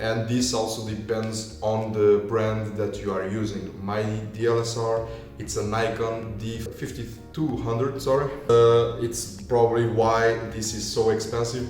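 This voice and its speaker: Deep voiced guy doing a casual gear walkthrough, english not his first language, super echoey and distant, music in the back. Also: a little mellow. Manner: non-native English phrasing. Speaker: video creator. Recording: echoey room, far from mic, music behind.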